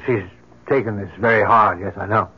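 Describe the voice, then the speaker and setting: A man playing a kindly, solicitous doctor of the church in an old-time radio drama, courteous and gently firm. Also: on the low side, steady tone, moderate pace, slightly rough. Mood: sympathetic, firm. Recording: noisy, muffled old radio recording.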